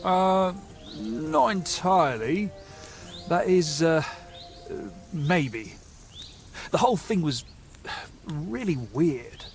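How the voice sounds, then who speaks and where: An actor playing a nervous, gullible young medieval bandit in a game, with a hesitant, stammering, excitable way of talking. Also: male voice, a little high, extremely slow, long pauses, faint music behind, full character voice. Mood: evasive, uneasy. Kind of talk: video game dialogue acting.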